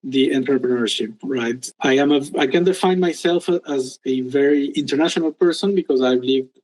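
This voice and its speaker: A man's voice: mid-range voice, fairly quick, a little mellow, poor audio. Hesitant man from Peru. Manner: hesitant, many ums and restarts. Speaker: guest entrepreneur. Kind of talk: casual interview talk.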